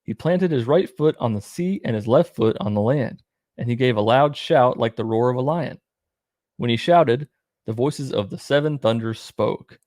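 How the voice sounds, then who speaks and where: A man's voice: mid-range voice, moderate pace, a little mellow, no room sound, clean studio sound. A man reading aloud and commenting in a plain-spoken, irreverent way, as host of his own show. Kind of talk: read-aloud narration with casual irreverent commentary.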